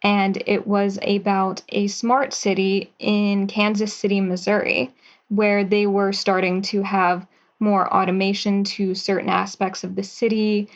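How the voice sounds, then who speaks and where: A woman's voice: mid-range voice, level tone, a little slow, highs cut off. A woman in her twenties, a writer and actress, talking casually and cheerfully as a guest in an interview. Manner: chatty, upbeat, with light laughter.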